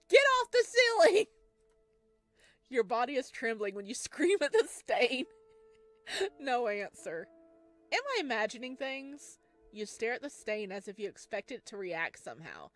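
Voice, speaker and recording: Woman, high voice, animated swings, excitable, playful, let's play narration, faint music behind. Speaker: gaming content creator playing a visual novel. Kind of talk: let's play reading aloud with joking commentary.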